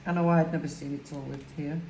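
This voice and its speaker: Low-voiced woman with broad Lancashire accent. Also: fairly even intonation, quick pace, vocal fry, mellow. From Lancashire, England. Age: older. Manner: chatty, rambling, many "um"s. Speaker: crafting vlogger. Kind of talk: casual chat while crafting. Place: home, indoors. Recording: echoey room with faint hiss.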